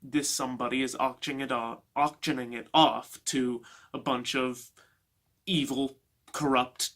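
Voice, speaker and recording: A man talks like a book vlogger sharing unscripted opinions. His pitch is middling for a male voice, and his delivery is informal and halting, with thoughts that trail off and pick up again. The style is casual chat, plainly opinionated. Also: fairly even intonation, fairly bright tone.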